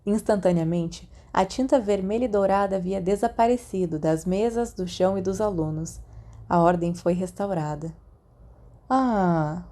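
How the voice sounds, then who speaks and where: A Brazilian woman narrates a work of fiction. Her voice is mid-pitched and distinctly mellow. She reads from a script in the manner of an audiobook. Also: normal speed.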